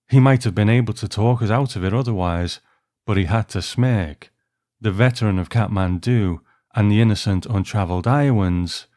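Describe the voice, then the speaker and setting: A man's voice: lowish voice, dark tone, no echo, clean studio sound. A man reading a first-person short story as a wry young newlywed husband recalling a voyage.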